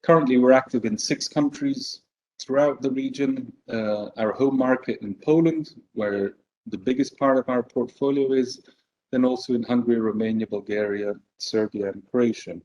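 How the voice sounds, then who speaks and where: A man born in Belgium giving a business presentation at a conference, measured and hesitant, with frequent fillers. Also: medium pitch, mellow, highs cut off. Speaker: conference speaker.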